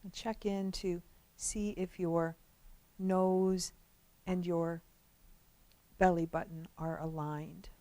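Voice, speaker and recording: A woman leading a guided relaxation as a meditation instructor, speaking gently and without hurry, with long pauses between phrases. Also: fairly low pitch, level tone, no echo.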